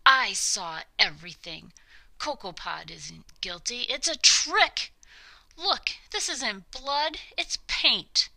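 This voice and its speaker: A woman voicing a wise, honest young girl in a children's storybook, speaking clearly and insistently. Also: fairly high pitch, lively intonation, slow pace, pushed and bright, pitched above the performer's own voice, full character voice, rough recording. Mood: urgent, earnest. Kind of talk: children's storybook character reading.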